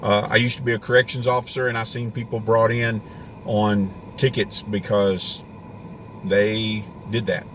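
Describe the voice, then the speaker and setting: A man's voice: fairly low pitch, flat delivery, measured pace, a bit bright, a little hiss or hum. A man hosting a homesteading live stream from inside a parked car, rambling in a casual rant with a worn voice. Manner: ranting, rambling with restarts. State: voice getting worn.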